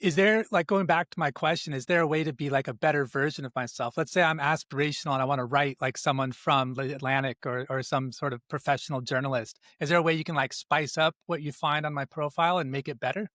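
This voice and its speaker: A man's voice: on the high side, steady tone, quick pace, few pauses, fairly bright tone, no room sound, studio quality. A man hosting a podcast, asking curious, rambling questions with many fillers. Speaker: podcast host. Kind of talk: casual podcast interview.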